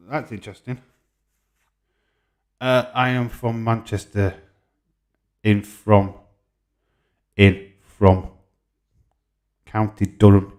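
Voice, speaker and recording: A man's voice: fairly low pitch, a little mellow. A man in his forties with a Yorkshire accent hosting a casual livestream, reading out comments and bantering informally as he replies. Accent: Yorkshire English. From Yorkshire, England. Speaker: live stream host.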